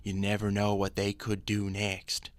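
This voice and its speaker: An actor playing a gloomy, self-pitying groundskeeper in a radio drama, with a melodramatic, drawn-out delivery. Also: male voice, on the low side, monotone, close to an everyday voice. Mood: solemn, awed.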